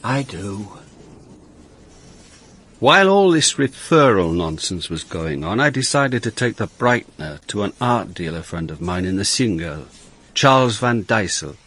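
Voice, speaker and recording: An actor playing a shrewd, wry police inspector who narrates his case in a measured, reflective way for a radio drama. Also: lowish voice, sing-song, fairly bright tone, breathy edge, heavily acted. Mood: dry, reflective. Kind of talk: radio drama first-person narration and dialogue.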